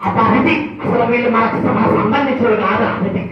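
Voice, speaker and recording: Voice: mid-range voice, fast, natural voice. Performer as mischievous little boy puppet, blunt and playful, children's show; roomy far mic, crowd behind. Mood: cheeky, bored. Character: cheeky food-obsessed little boy puppet. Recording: muffled, echoey far mic, crowd noise.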